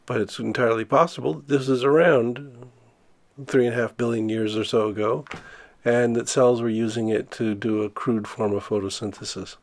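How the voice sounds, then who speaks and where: An older man with a gravelly voice that a bad cold has left sniffly and congested. He explains science in a relaxed way and restarts his phrases as he goes. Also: mid-range voice, normal speed. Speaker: science educator.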